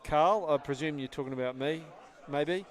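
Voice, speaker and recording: New Zealand man, young, excitable tabletop commentator, chatty and quick to laugh. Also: fairly high pitch. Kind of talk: casual live commentary.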